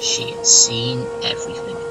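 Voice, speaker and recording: A man narrating a scripted children's story as part of an amateur audio drama. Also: normal speed. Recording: loud music under the narration.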